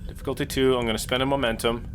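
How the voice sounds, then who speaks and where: A man chats as a player in a role-playing session. His voice is mid-pitched and casual, with music faintly behind it. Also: level tone, rapid-fire. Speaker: player in a tabletop game. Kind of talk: casual table talk.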